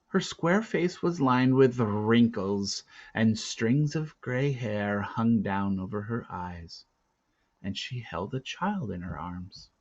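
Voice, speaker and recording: Male read-aloud narrator, children's novel, dramatic phrasing, unhurried pace, slightly dull recording. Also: on the low side, lively intonation, darkish tone. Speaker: story reader.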